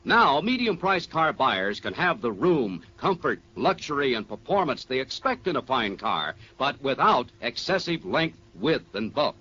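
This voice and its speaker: Bright, animated American male radio announcer. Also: mid-range voice, a little slow, few pauses, breathy edge. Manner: polished, emphatic. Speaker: commercial announcer. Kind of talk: 1950s radio advertisement read. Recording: dull vintage radio broadcast.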